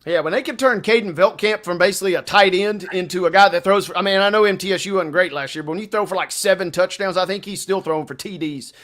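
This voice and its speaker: A man with a high-pitched voice co-hosts a casual sports show. He is chatty and colloquial, with playful turns of phrase as he runs through lines and picks. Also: fast talker, few pauses, fairly bright tone.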